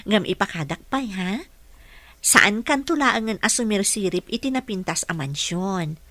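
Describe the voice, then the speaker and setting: A woman playing a practical, caring working mother in an audio drama, with a homely, gently chiding manner. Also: mid-range voice, animated, measured pace, dry recording. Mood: gently scolding.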